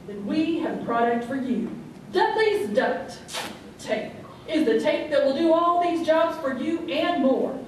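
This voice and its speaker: A woman plays an earnest, straight-faced pitchwoman in a spoof radio commercial, slow and high-voiced. She is heard from a distance in a noisy, heavily echoing hall. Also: darkish tone.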